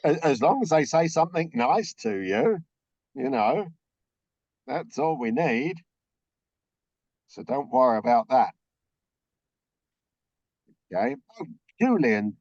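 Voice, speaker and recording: Slightly croaky man briefing on a call. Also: medium pitch, big pitch swings, slow pace, pauses often, a little mellow, no echo, muffled recording. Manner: explains step by step, checks in with frequent okays. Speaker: orientation presenter. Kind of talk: briefing a group on a video call.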